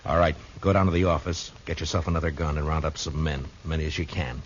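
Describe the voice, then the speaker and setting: A man acting a grim, steady American marshal in a radio western, laconic and authoritative. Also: a bass voice, quick pace, a little hiss or hum. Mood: urgent, commanding. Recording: dull vintage 1950s radio recording with music.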